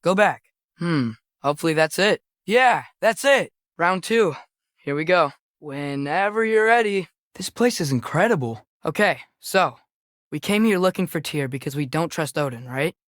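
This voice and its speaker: A man's voice: a little high, lively intonation, normal speed, fairly bright tone, no room sound, clean studio sound. Earnest, curious teenage boy adventurer. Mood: helpful, unsure. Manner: tentative, eager, questioning. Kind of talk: naturalistic video game voice acting.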